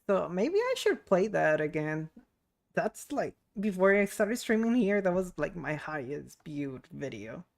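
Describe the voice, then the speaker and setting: A young woman livestreaming a game, with a slightly low voice. She talks informally and rambles along as if to viewers. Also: big pitch swings, unhurried, creaky, darkish tone. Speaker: game streamer. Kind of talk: casual livestream chat while playing.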